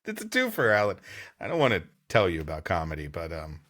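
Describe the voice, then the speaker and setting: A man's voice: sits low, huge pitch swings, fast, fairly bright tone. A man hosting a comedy story podcast, reading prose aloud and bantering with playful, theatrical delivery. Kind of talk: scripted story narration mixed with comic banter.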